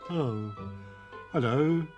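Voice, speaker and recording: A man's voice: mid-range voice, drawn-out words, highs cut off, music in the background. A man voicing a kind, sleepy female cow in a storybook tale. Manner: sleepy, kindly, gentle.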